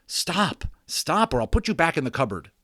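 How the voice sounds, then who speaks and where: A man plays an earnest young boy in a children's storybook, easily exasperated and quick to plead. The voice is lifted high above his natural pitch and moves around a lot, recorded dry. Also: sing-song, bright, forceful tone, no echo, heavily acted. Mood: firm, alarmed. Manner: pleading, remonstrating.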